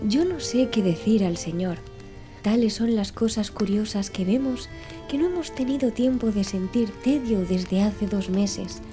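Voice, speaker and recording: Woman playing young male servant, deferential, formal, courteous, very mellow tone, audiobook character, music behind. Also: mid-range voice, unhurried, close to an everyday voice. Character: Conseil, polite deferential young manservant.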